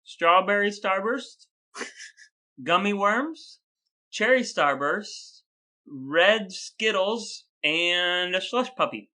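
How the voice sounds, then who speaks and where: A man's voice: high voice, animated, very slow, bright, forceful tone. A man co-hosting a casual podcast chat, playful, quizzing and joking with his co-host.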